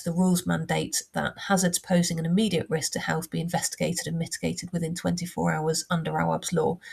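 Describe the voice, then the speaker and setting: A woman with a heavy cold talks through housing regulations in a measured, practical way. Her voice is croaky and gravelly, sitting low with little rise and fall. She sounds like a working surveyor giving a plain explainer. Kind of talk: informal explainer talk.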